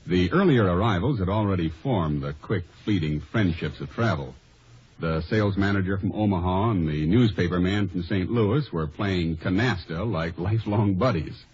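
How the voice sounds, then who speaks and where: A man's voice: low voice, a little mellow, slightly rough, heavily acted. An actor playing a shy research man and lone traveler, telling his story conversationally in a vintage radio advertisement. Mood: reflective. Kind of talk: scripted first-person radio advertisement storytelling. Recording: dull vintage radio recording.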